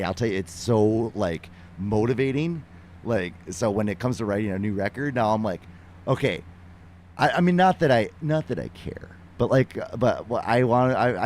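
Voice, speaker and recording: A man from Minnesota with a lowish voice, talking in a modest, halting way with frequent restarts. A faint hiss sits under his speech. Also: a little mellow. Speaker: guest musician. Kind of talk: casual interview talk.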